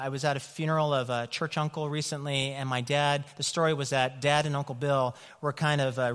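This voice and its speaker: A man's voice: a little high, a bit bright. A man from California, a pastor, telling personal stories in a loose conversational way with many fillers. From California, USA. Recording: long hall reverb.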